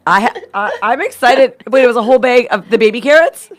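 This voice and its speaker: A woman comedian co-hosting a podcast, telling rambling, animated stories in casual chat and laughing at her own jokes. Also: a little high, fast. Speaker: stand-up comedian, podcast co-host.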